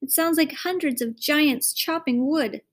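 A woman's voice: high-pitched, unhurried, pitched above the performer's own voice. A woman reading aloud, voicing a bossy young girl who exclaims dramatically. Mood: dramatic.

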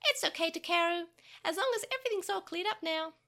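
A small, gentle baby creature from a storybook, voiced by a woman reading aloud. It speaks in a soft, high and kindly voice that is bright and open. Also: expressive, fast, exaggerated voice.

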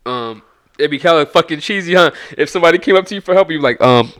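A man's voice: fairly high pitch, animated, quick pace, a bit bright, dry recording, clean studio sound. A man from Pasadena co-hosting a podcast, talking bluntly and profanely about recovery and life lessons. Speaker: podcast co-host. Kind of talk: casual podcast chat.

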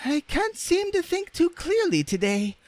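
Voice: extremely high pitch, very animated, measured pace, no room sound, voice pushed up, heavily acted. 音调极高、活泼热切的小布娃娃安. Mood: muddled, puzzled. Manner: bright, eager, exclamatory.

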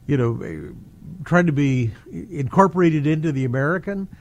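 A man's voice: on the high side, animated, normal speed. A man hosting a radio interview, chatting loosely with ums and restarts.

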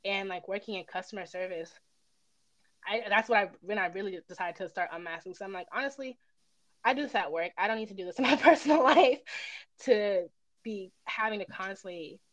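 A woman's voice: medium pitch, brisk, dry recording. A woman in a casual personal conversation, speaking candidly and reflectively as she feels her way through her phrasing.

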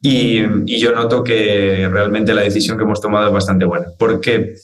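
A man in his twenties, born in Argentina, answering interview questions as a fitness trainer in a relaxed, rambling way. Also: fairly low pitch, normal speed, a little hiss or hum. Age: 20s. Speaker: guest, trainer. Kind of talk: casual interview talk.

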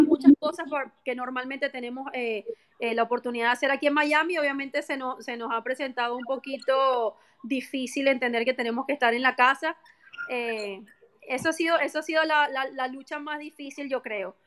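A woman's voice: sits high, steady tone, fairly quick. A woman, a real estate agent, chatting as a guest on a live stream in a friendly way, with many fillers. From Venezuela. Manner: friendly, many fillers and restarts, laughs easily. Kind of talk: casual interview chat.

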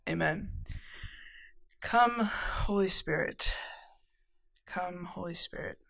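A woman's voice: mid-range voice, a bit bright, dry recording. An upbeat young woman co-hosts a casual faith podcast. Her voice sits close and dry, with no room around it. She talks in a chatty, easygoing way and sometimes trips over a name. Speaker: podcast co-host. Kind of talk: casual chat and spoken prayer.

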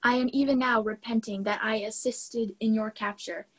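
Woman voicing a soft-spoken remorseful demon. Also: fairly high pitch, fairly even intonation, moderate pace, lightly acted. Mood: pleading. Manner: soft, pleading put-on voice. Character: remorseful male Demon of Repentance, soft and pleading.

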